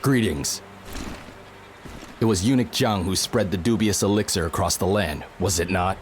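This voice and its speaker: A male actor plays a stern, composed military commander in a video game cutscene. His voice is low and measured, carrying watchful authority over clear background music. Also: natural voice.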